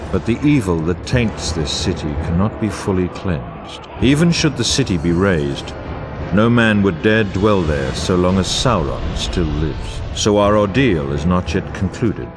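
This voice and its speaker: An actor playing a solemn, grave war chronicler, narrating a fantasy game in a grandiose, formal and portentous way. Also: male voice, deep voice, lively intonation, measured pace, noisy background. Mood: solemn, foreboding. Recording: orchestral music bed under voice. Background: music.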